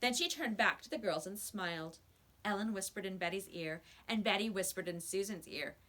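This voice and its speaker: A woman narrates a children's storybook expressively. Her voice is bright and forward, with lively rises and falls in pitch. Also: normal speed. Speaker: storybook reader.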